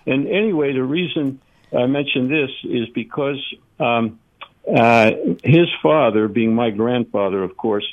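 A man of eighty calling in to a radio show, speaking in a halting, reflective way with many fillers. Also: mid-range voice. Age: 80s. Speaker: caller. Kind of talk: call-in radio chat. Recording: phone line.

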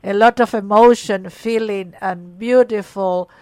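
A woman's voice: mid-range voice, steady tone, a little slow, a little mellow, clean tone, dry recording. A woman hosting a call-in radio show, speaking emphatically and often repeating words as she starts a thought. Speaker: radio host.

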